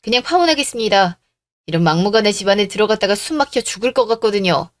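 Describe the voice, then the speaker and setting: A woman's voice: medium pitch, expressive, fast talker, dry recording, clean studio sound, close to an everyday voice. Woman acting bold, defiant young woman. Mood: defiant, cutting. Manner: assertive, cutting, sarcastic. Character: bold, defiant young bride-to-be, plucky daughter. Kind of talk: radio drama story acting.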